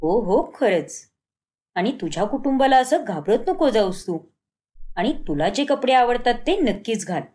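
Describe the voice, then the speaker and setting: A woman acts a bold middle-aged modern woman in a cartoon moral story. She is brash, quick to anger and sure of herself, a little lower than the narration with animated swings. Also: lightly acted. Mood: encouraging. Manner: brash, self-assured.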